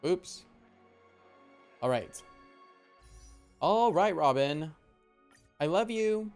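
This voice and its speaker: Higher-voiced male game streamer, loose and animated. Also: extremely slow, soft background music. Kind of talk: casual live gameplay reaction.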